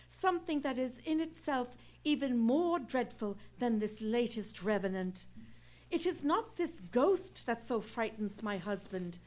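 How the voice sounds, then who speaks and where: A woman plays an eloquent noble English wife in an old-time radio mystery. Her voice is high and very animated, with formal period phrasing and an anxious, devoted temper. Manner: formal, theatrical, period diction. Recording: live theatre stage radio play.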